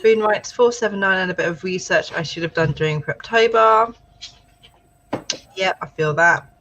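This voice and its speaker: A woman's voice: fairly low pitch, normal speed, a bit bright, lo-fi sound, a little hiss or hum. A British woman co-hosting a casual writing livestream, chatting and reading out viewer comments encouragingly. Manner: chatty, encouraging, thinks aloud. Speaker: livestream co-host.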